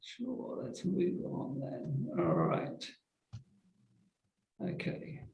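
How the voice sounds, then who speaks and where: A man running a quiz over a video call, jokey and brisk. His voice is high and gravelly, with an echoey room around it. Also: big pitch swings, darkish tone. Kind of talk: casual chat.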